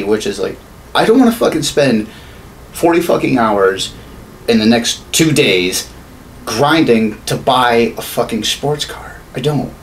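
Animated, profane man on a rant. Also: medium pitch. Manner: profane, exasperated, sarcastic. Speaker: podcast co-host. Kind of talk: ranting casual chat. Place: small home studio room. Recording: noisy room, steady background ambience.

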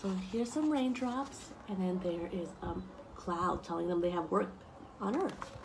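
A young woman reading picture books aloud to children, expressive and explaining things simply as she goes. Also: lowish voice, unhurried, noisy background. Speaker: story time reader for children. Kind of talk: children's picture book read-aloud with asides. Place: outdoors on a wooden deck among trees.

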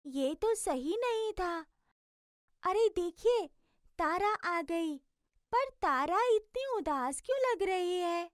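High squeaky little bird, voiced by a woman, chirpy, curious, slow, dry studio sound. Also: lively intonation, no room sound, full character voice. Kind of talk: educational cartoon voice acting.

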